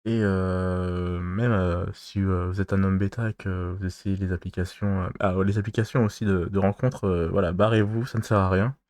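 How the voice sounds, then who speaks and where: A man from France giving advice in a casual monologue to his viewers, halting and rambling, pausing constantly and losing his thread. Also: low-pitched, steady tone, a little mellow, no echo. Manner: loses his thread mid-talk. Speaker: vlogger giving dating advice.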